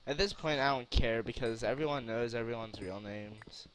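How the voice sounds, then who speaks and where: A man's voice: mid-range voice, normal speed, a crowd in the background, rough recording. A young man chatting casually with friends during a game, laid-back and easygoing. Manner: laid-back, says bruh a lot. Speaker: gamer in a group commentary. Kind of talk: casual gaming chat with friends.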